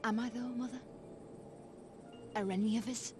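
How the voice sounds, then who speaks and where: A woman's voice: a little high, steady tone, normal speed, over music, lightly acted. A woman playing a bitter, defiant daughter turned soldier in a video game cutscene.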